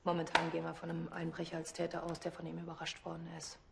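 A woman playing a brisk, haughty city detective in a TV drama. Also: low voice, completely flat delivery, vocal fry, heavy background noise. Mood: brisk, official.

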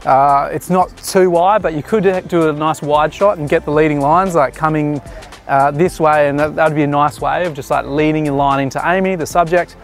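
A man's voice: fairly high pitch, fairly even intonation, moderate pace, soft background music. A man in his thirties, a photographer, casually explaining his shots while giving directions to a model outdoors. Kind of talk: casual behind-the-scenes commentary. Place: outdoors on a beach jetty.

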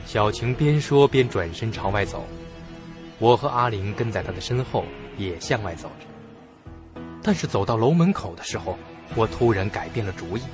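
Male actor as young student narrator, suspenseful radio drama, expressive, lively intonation, clear music behind. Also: lowish voice, normal speed, natural voice. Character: curious, stubborn young student recounting a night scare. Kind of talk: dramatic first-person suspense story narration.

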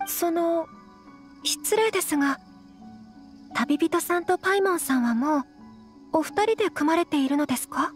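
A woman's voice: very high voice, expressive, moderate pace, long pauses, soft background music. A woman voicing a refined, modest young noblewoman in a video game, very polite, formal and slightly hesitant. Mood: shy, hopeful. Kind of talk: Japanese video game voice acting.

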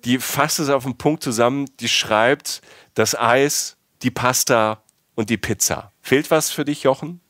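A man's voice: mid-range voice, unhurried, fairly bright tone, no echo, clean studio sound. A man from south-west Germany, middle-aged, chatting casually and enthusiastically as a podcast host. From Palatinate, south-west Germany. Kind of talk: casual chat.

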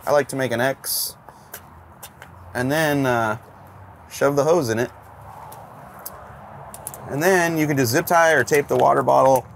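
A man in his fifties, a host talking casually and joking while he shows a roadside car fix outdoors. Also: medium pitch. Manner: easygoing, joking, explains while working. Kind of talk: casual garage how-to chat. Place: outdoors by a car, then inside the car. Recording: outdoor background noise.

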